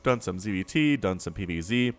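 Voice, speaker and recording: A man's voice: fairly low pitch, animated, a little fast, soft background music. A young man with a sniffly cold, giving chatty solo commentary on a strategy game and wandering off on jokey tangents. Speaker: StarCraft shoutcaster.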